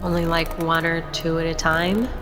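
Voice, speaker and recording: A woman's voice: low-pitched, little pitch movement, normal speed. A woman playing a tabletop roleplaying game, speaking casually, often in character. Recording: long reverb, faint music behind.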